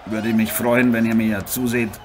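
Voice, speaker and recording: A man playing a proud, cocky heavyweight boxing champion in an improvised radio-play interview, halting and self-important. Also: medium pitch, level tone, a crowd in the background, natural voice. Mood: boastful. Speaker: guest athlete being interviewed. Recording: noisy, with arena ambience behind.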